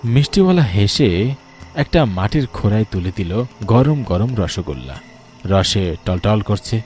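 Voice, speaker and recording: A man's voice: lowish voice, big pitch swings, a little slow, a little mellow, faint music behind. A man giving dramatic, scripted narration of a ghost story.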